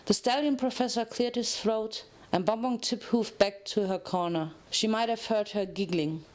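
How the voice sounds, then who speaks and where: A woman's voice: on the high side, fairly even intonation, normal speed, a little mellow. A woman narrating a story as a dramatic reading.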